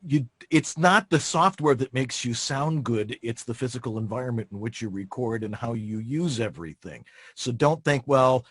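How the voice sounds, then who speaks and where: A man's voice: medium pitch, animated, moderate pace, fairly bright tone, no room sound. A man giving conversational technical advice as a studio expert, in a blunt, emphatic, plain-spoken way.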